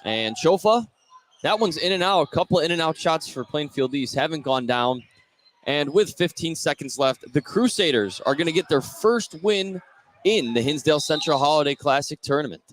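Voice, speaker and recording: A man's voice: a little high, lively intonation, normal speed, a little hiss or hum. An American man doing live radio play-by-play commentary of a basketball game as it unfolds. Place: indoor basketball gym during a game.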